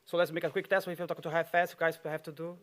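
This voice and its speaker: A man's voice: on the high side, little pitch movement, fast talker. Brazilian man giving a chatty tech talk. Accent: Brazilian Portuguese. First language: Portuguese. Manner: loose, chatty, many "like" fillers and small laughs. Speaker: conference speaker. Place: auditorium.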